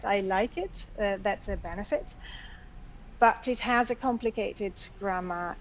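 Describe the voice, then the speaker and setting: A woman's voice: medium pitch, slight background noise, low-quality recording. A woman in her forties from Italy with an Italian accent, her native tongue Italian, answering interview questions in a friendly, plainspoken way. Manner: friendly, plainspoken, laughs lightly. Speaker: interview guest. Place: home in Germany on a video call.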